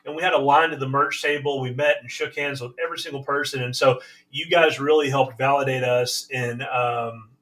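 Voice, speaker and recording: A man from the band, hosting a live chat and talking quietly and quickly as he gives his thanks. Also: mid-range voice, steady tone. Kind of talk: casual chat. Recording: distant mic with music behind.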